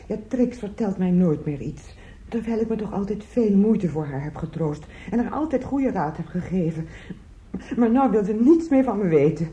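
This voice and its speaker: A woman's voice: medium pitch, expressive, normal speed, dark tone, lo-fi sound, lightly acted. An actress playing a fretful, meddling older woman in a radio drama, plaintive and garrulous. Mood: plaintive, complaining. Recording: echoey, noisy old radio drama recording.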